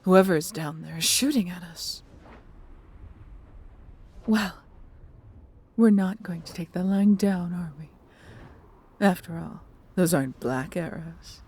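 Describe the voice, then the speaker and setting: A woman playing a proud, tender female dragon in a fantasy audio roleplay, with a breathy, theatrical, measured delivery. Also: fairly low pitch, a little mellow, heavily acted. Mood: alarmed, defiant. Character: proud, doting female dragon, adventurous and protective.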